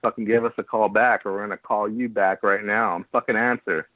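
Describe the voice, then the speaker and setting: A man's voice: lowish voice, steady tone, a little fast, no echo. A man co-hosting a podcast in casual, crude, joking chat. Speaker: podcast co-host. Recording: tinny phone-like sound.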